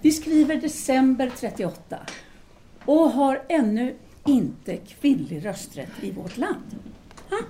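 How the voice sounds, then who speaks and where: Actress playing a 1930s feminist intellectual, audio drama, higher-pitched, mellow tone, assertive lecturing delivery, faint noise. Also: female voice, expressive. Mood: indignant, lecturing. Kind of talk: Swedish audio drama dialogue.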